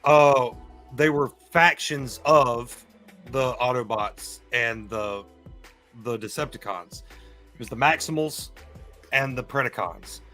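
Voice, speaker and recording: A man with a bright, forceful voice at an average pitch, chatting casually as a podcast host. He explains in a rambling, enthusiastic way, with faint music behind him. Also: slow. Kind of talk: casual fan chat on a live stream.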